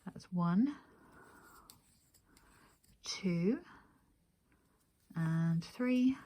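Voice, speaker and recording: A woman's voice: lowish voice, animated, extremely slow, mellow. A woman hosting a crochet tutorial, giving step-by-step instructions and counting aloud as she goes.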